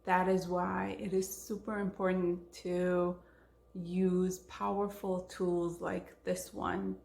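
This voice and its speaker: Female language teacher, Israeli accent, native Hebrew speaker. Slow, even-pitched delivery with emphasis on key words, in a roomy space. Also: vocal fry. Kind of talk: instructional talk to camera.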